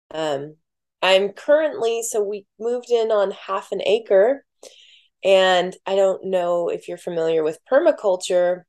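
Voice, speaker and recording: Slow, low-pitched woman speaking softly. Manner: soft-spoken, many "like" fillers. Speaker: podcast guest. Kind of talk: reflective casual conversation.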